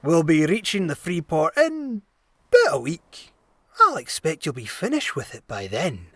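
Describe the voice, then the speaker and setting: A smooth pirate captain, played by a man for an audio drama. He speaks courteously and without hurry, his pitch moving widely, with menace tucked under the geniality. The sound is very dry. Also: huge pitch swings, measured pace, a bit bright, dry recording, full character voice. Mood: veiled pressure. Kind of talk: fullcast audio drama acting.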